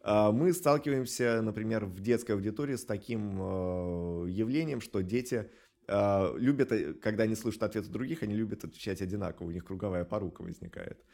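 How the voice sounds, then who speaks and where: A man answering as an animation studio director in an interview, explaining things in a halting, thoughtful way with drawn-out hesitations. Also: lowish voice, vocal fry, dark tone.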